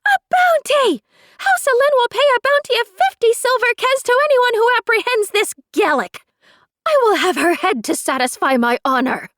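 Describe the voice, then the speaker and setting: A woman's voice: very high-pitched, animated, moderate pace, fairly bright tone, dry recording, voice pushed up. Woman doing a haughty cruel young noble girl, shrieking, mocking, dry sound.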